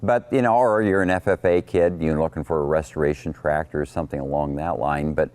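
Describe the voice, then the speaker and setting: A man's voice: very deep voice, steady tone, fairly quick, a little mellow, no room sound. A man hosting a farm show, interviewing a guest and narrating with folksy interjections.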